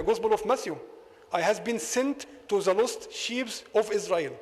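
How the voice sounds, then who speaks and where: Egyptian imam lecturing in a reverberant mosque. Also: male voice, high voice. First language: Arabic. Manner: argumentative, rhetorical questions. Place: mosque hall. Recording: long hall reverb.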